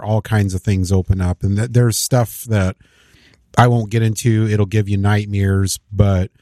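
Low, mellow-voiced man hosting earnestly. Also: dry recording, studio quality. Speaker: podcast host. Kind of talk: faith podcast conversation.

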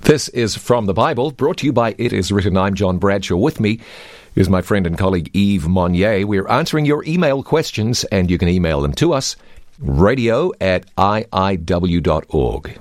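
Man, radio preacher, low voice, earnest and emphatic, dry close sound. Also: animated, moderate pace, no room sound. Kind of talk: radio Bible Q&A talk.

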